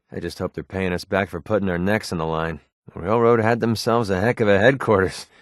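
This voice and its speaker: Young mercenary, cocky, wary, sardonic, slightly deep voice, casual game banter, very dry studio sound. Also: male voice, no echo. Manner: sardonic, casual, colloquial delivery. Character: wry young male mercenary, cocky, wary, protective father. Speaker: video game companion character. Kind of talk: video game voice acting, casual banter lines.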